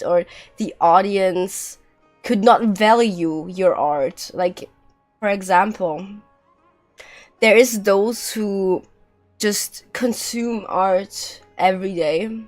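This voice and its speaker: Slow-paced woman streaming a game. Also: medium pitch. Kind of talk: casual live game commentary. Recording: game music behind the voice. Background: video game music.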